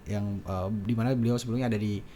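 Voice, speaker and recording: A man's voice: lowish voice, fairly even intonation, fast talker, dark tone, a little hiss or hum. A man with a Madurese accent hosting a casual radio interview in a chatty, affirming way. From Madura, Indonesia. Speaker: radio talk show host.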